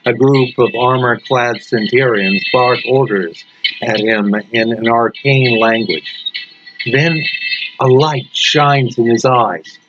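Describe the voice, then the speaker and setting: Guy reading horror fiction real slow and dramatic, muffled audio, lots of noise behind him. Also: mid-range voice. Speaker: story reader. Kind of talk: scripted narration of horror fiction. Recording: dull lo-fi recording with loud background noise.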